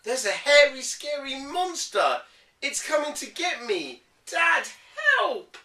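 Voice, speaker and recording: A man's voice: sing-song, slow, pushed and bright, heavily acted. A man voicing a mischievous, dramatic little boy in a children's storybook, with exaggerated cries. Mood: alarmed yelling. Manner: exaggerated, shrill cries for help. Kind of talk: animated children's storybook reading. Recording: echoey room, distant from the microphone.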